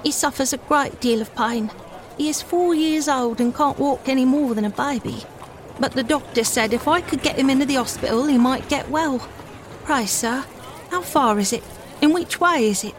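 A poor young country mother in a storybook, anxious, humble and politely pleading, acted out by a woman narrator. The voice is fairly high, with some noise behind it. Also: moderate pace, close to an everyday voice. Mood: worried, pleading.

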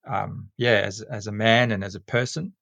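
A man in his forties with a South African accent, a school teacher talking casually as a podcast guest and often pausing with ums and restarts. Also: lowish voice, steady tone, vocal fry, a bit bright, dry recording. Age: 40s. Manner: rambling, many ums and restarts.

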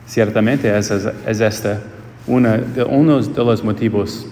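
A man with a Portuguese accent preaching a homily in a church, in slow, searching phrases that often restart. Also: fairly low pitch, fairly even intonation, darkish tone. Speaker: priest. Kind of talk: homily at a quinceañera Mass. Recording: echoey church with long reverb.

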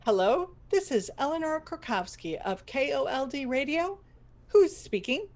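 A woman playing a proud, stubborn boss of a failing radio station in a scripted comedy, with brisk, dryly theatrical delivery. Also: sits high, expressive, a little mellow. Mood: insistent politeness.

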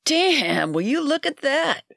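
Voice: sits high, very animated, slow, creaky, fairly bright tone, no room sound, clean studio sound, voice pushed up, full character voice. A narrator doing a brash, crude barfly. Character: loud beer-drinking middle-aged barfly man.

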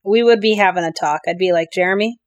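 A woman's voice: fairly low pitch, fast, no room sound, highs cut off. A woman co-hosting a podcast in casual chat, blunt and jokey, swearing and playing with rhymes. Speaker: podcast co-host.

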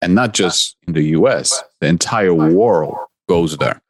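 A man's voice: sits low, lively intonation, a little slow. A man, a guest investor answering interview questions earnestly in short listed phrases. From Poland.

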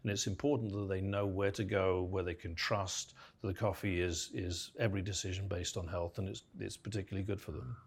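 A man, a company founder, calmly making his case as an interview guest and citing study figures. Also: low-pitched, a little fast, hardly any pauses, breathy edge. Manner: steady, persuasive, cites figures. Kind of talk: casual interview.

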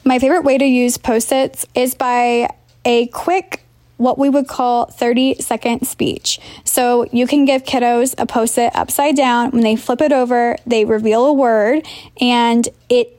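Higher-voiced woman teacher giving instructions. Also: steady tone, a little slow, darkish tone, no echo. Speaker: teacher leaving a listener voicemail. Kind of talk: clear instructional explanation.

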